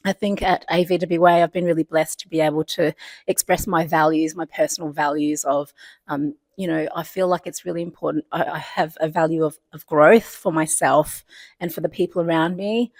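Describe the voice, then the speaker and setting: A woman's voice: on the low side, no echo. A woman in her forties, born in Vietnam, telling her personal story at a panel, laughing often and using many fillers. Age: 40s. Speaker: CEO of a community organisation.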